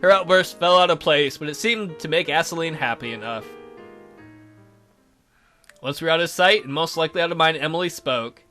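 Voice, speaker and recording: A man's voice: a little high, fairly quick, pauses often, sharp tone, soft background music. A man reading a visual novel game aloud as a let's play host, with crude, irreverent asides.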